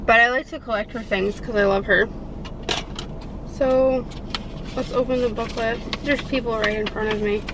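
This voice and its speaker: A woman's voice: on the high side, steady tone, moderate pace, pauses often, background noise. A young woman vlogging casually to her camera, rambling with lots of fillers and sounding congested from a sinus infection. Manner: rambling, many fillers like "like" and "I don't know". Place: in a car, then at home.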